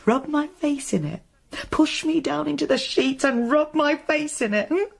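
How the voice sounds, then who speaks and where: An actress playing a bitter, wounded widow in a radio drama, sarcastic and confrontational. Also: female voice, sits high, lively intonation, measured pace, creaky, heavily acted. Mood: taunting anger. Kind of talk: modern radio drama acting.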